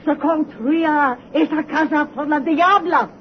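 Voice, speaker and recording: A woman's voice: sits high, measured pace. An actress playing a frightened, superstitious old servant woman in a radio horror drama, urgent and wailing. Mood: fearful warning. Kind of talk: 1930s radio horror acting. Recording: muffled, noisy old radio recording.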